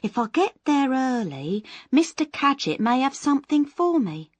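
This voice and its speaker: A woman voicing a hopeful, struggling actress who talks with eager, breathless brightness. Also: high-pitched, sing-song, normal speed, no echo, pitched above the performer's own voice.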